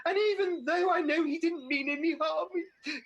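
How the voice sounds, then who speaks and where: A woman's voice: very high voice, normal speed, lo-fi sound. A woman playing Mother Goose as a fussy, plaintive, vain and sweet pantomime dame in a radio panto. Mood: sad, self-pitying. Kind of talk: British radio pantomime acting.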